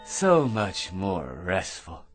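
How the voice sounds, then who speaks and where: An actor playing an excitable, self-important eccentric in a radio drama, rambling and stammering through grand schemes with theatrical delivery. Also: male voice, low voice, slow pace, soft background music, exaggerated voice. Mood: smug, triumphant.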